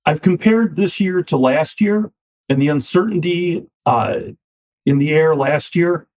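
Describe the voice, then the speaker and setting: A man, a finance company CEO, answering interview questions with a slightly scratchy voice, measured and often repeating words as he starts a thought. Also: on the high side, darkish tone. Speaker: equipment finance CEO, guest. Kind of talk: business podcast interview. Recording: dull lo-fi sound.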